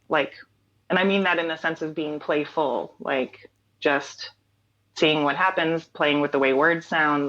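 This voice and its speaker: A woman's voice: deep voice, a bit bright, muffled recording. A woman in her late thirties, a singer-songwriter guest in an interview, talking thoughtfully with frequent "um" and "like" and easy laughter. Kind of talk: reflective conversational interview.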